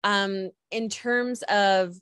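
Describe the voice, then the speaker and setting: A woman's voice: medium pitch, steady tone, very slow, fairly bright tone, smooth, dry recording, clean studio sound. A woman interviewing casually over a video call, effusive and chatty, with long rambling questions. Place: room at home, video call.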